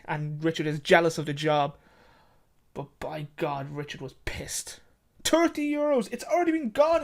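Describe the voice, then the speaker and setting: Storyteller, medium pitch, dramatic short story narration, emphatic, repetitive phrasing, occasional stumbles.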